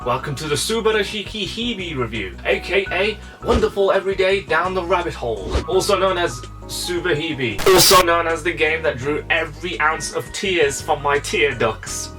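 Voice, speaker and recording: A man's voice: a little high, expressive, normal speed, few pauses, pushed and bright, faint music behind. A man narrating a game review in a casual, comedic, chatty style with theatrical exasperation.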